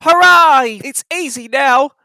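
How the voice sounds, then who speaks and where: A storyteller voicing a proud young male steam engine who puffs and strains in a high, excited voice. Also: measured pace, dry recording, clean studio sound. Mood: determined.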